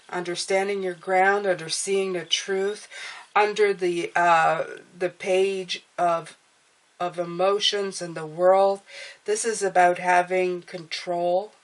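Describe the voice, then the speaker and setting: Stuffed-up woman giving an encouraging tarot reading. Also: mid-range voice. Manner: encouraging, often tags lines with "okay?". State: stuffed up and nasal. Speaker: tarot reader. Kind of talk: casual tarot reading monologue.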